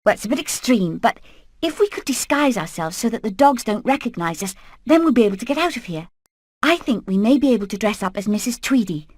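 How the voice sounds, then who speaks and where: A woman's voice: a little high, animated, a little fast, darkish tone, dry recording, studio quality. A woman voicing a determined hen leader in a video game, earnest and purposeful. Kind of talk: animated video game acting.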